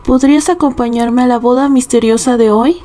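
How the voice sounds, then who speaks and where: A woman's voice: on the high side, steady tone, brisk, mellow, natural voice. A woman voicing a lovesick, jealous boy in a Latin American Spanish comic fandub, pleading and overdramatic. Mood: adoring.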